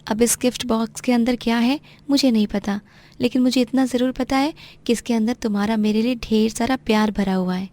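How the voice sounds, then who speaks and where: A woman's voice: on the high side, fairly even intonation, brisk, warm tone, natural voice. A woman playing an earnest, outspoken young rich daughter who mixes English into her Hindi in a cartoon tale. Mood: affectionate. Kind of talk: animated moral-story dramatisation.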